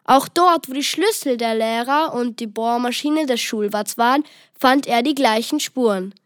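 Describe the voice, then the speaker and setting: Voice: medium pitch, normal speed, a bit bright, dry recording, studio quality. A child reading scripted narration for a children's story.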